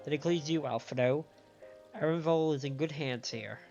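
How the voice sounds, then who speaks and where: A man hosting a game stream, reading story dialogue aloud in a measured way with small stumbles and adding his own commentary. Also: a little high, a little mellow, dull audio. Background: game music.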